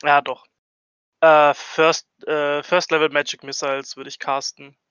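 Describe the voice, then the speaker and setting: Higher-pitched man, slow and bright. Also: little pitch movement, clean tone, no echo, highs cut off. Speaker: tabletop game player. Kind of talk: casual game-table chat.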